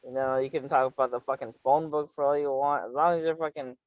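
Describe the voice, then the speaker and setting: A man's voice: medium pitch, steady tone, fast, no echo, phone-quality sound. A man from California hosting a casual video-call chat, rambling and teasing, swearing and leaning on fillers. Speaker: channel host.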